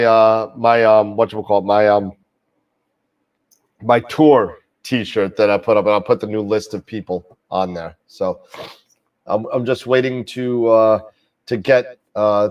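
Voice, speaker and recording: A man's voice: fairly low pitch, far from the mic, muffled recording. A man hosting a live astronomy show, talking casually with many fillers and restarts while getting over a cold. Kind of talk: casual live-stream chat.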